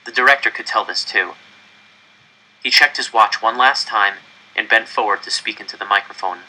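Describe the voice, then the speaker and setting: Bright-voiced man reading a story aloud. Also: lowish voice, moderate pace, rough recording. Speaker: audiobook reader. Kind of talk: scripted narration of a novel read aloud.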